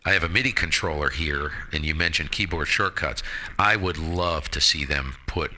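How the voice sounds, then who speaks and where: A man's voice: a bass voice, normal speed, sharp tone, slight background noise, low-quality recording. A man chatting casually about his radio hobby in a blunt, opinionated way, with mild folksy exclamations, speaking into a headset mic. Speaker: ham radio operator hosting a live stream.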